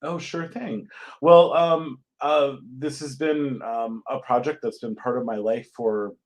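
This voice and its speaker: A man from Connecticut, a playwright, answering interview questions casually in a thoughtful, halting way with many pauses. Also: mid-range voice, fairly even intonation. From Connecticut, United States. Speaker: guest playwright.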